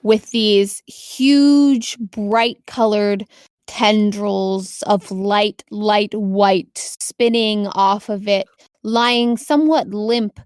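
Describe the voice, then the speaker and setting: A woman's voice: mid-range voice, fairly even intonation, drawn-out words, a little mellow, clean tone, dry recording, clean studio sound. A woman running a tabletop game as game master, improvising narration and descriptions for her players.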